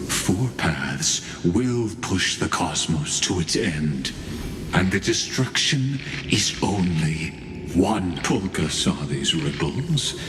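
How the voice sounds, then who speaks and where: An actor playing an ancient, coldly patient undead machine mastermind in a video game, with a deliberate, theatrical delivery. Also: male voice, deep voice, very animated, full character voice. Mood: cold, musing. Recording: echoing hall reverb under music.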